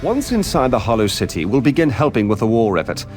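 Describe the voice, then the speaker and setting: An actor playing an eager scholarly mage in a video game, with a formal, emphatic and theatrical manner. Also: male voice, mid-range voice, very animated, fast, a little mellow, soft background music, lightly acted. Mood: resolute. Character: eager scholarly male mage leading a war campaign. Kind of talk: dramatic video game acting.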